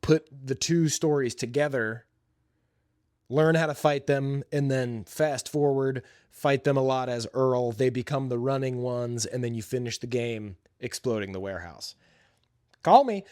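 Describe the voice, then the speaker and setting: A man's voice: medium pitch, a little slow, darkish tone, clear, resonant tone, no room sound, clean studio sound. A man hosting a podcast, giving an informal, opinionated and enthusiastic movie review in casual, slangy talk. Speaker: podcast host.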